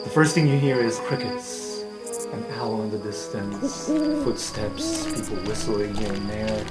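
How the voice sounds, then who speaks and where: A man's voice: fairly low pitch, big pitch swings, unhurried. A man improvising narration as the game master of a tabletop roleplaying game. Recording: roomy, with music under the voice. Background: music.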